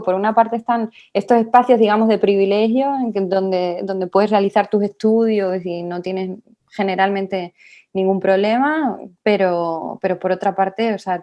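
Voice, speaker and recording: A woman in her thirties with an Andalusian accent speaks in a middle-pitched voice. She answers thoughtfully, restarting her phrases and checking in with tag questions as she goes. Her delivery is measured and personal. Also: darkish tone. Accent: Andalusian Spanish. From Spain. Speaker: writer being interviewed. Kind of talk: reflective interview answers.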